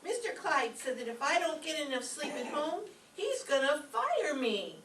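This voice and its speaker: A woman's voice: high-pitched, very animated, normal speed, fairly bright tone, heavily acted. A woman playing a naive, drowsy, dim-witted young secretary in an old-time radio comedy. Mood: naive, earnest. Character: ditzy, sleepy young female secretary, naive and earnest. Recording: roomy, distant stage recording with faint noise.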